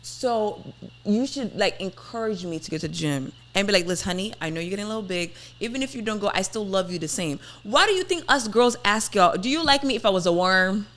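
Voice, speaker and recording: A woman's voice: medium pitch, lively intonation, fairly quick, a bit bright. A woman in her twenties chatting on a podcast, arguing her point in a pointed, insistent way. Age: 20s. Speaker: podcast host. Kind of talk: casual debate chat.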